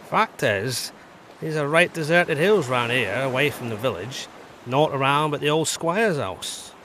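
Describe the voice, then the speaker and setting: An actor playing a blunt, excitable, forward villager in an audio drama, speaking emphatically. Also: male voice, medium pitch, a little slow, slight background noise. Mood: warning, animated. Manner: animated, emphatic.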